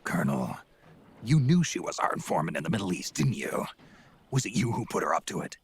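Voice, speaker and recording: An actor playing a gruff, world-weary old soldier in a video game, with a very raspy growl and a terse, blunt, suspicious manner. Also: male voice, sits low, big pitch swings, a little fast, full character voice. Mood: accusing. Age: older. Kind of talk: dramatic video game voice acting.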